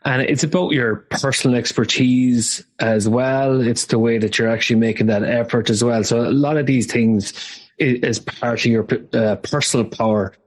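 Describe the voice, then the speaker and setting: A man's voice: medium pitch, steady tone. A man hosting a podcast interview and explaining negotiation ideas for listeners, with some "uh" and "um". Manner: measured, explanatory, uses fillers. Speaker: podcast host, negotiation teacher.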